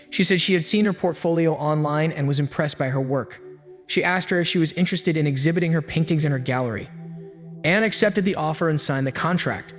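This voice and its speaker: A man giving scripted self-help narration with an even, steady storytelling delivery. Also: a little high. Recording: long hall reverb with faint music. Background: faint background music.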